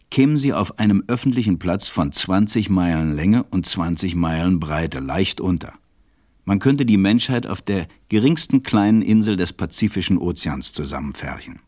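A man playing a reflective storyteller who confides in children, narrating a radio play in a measured way. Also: low-pitched, darkish tone, dry recording, studio quality.

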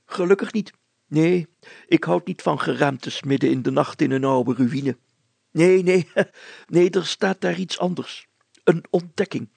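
Guy doing an eager secretive village mayor for an audiobook, very croaky, kinda high for a man, husky. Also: unhurried, a little mellow, no room sound, full character voice. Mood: emphatic, secretive. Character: excitable, secretive village mayor, keen storyteller. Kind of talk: acted audiobook dialogue.

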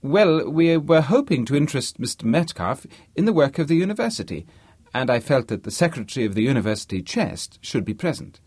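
A courteous English don from a storybook, acted by a male reader. He is formal and a little nervous, with a raised, husky voice that moves about a lot. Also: medium pitch, sing-song, a little fast, darkish tone, no echo, voice pushed up. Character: polite, slightly nervous male English academic host.

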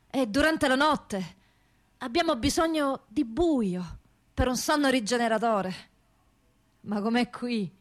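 High-pitched woman in grave radio monologue. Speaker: actress reading prisoners' testimonies. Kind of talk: dramatic radio theatre monologue.

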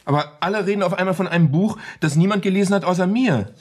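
An actor playing a stubborn, touchy husband in a radio play, speaking in an insistent, sceptical and snappish way. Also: male voice, sits high, fast talker, a little mellow, breathy edge. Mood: irritated, indignant. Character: stubborn, touchy, irritable husband with easily wounded pride. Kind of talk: German radio play dialogue.

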